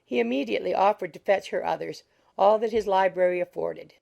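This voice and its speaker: Woman reading a classic novel as narrator, mellow, kinda creaky voice. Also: medium pitch, brisk. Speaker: audiobook narrator. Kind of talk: scripted literary narration.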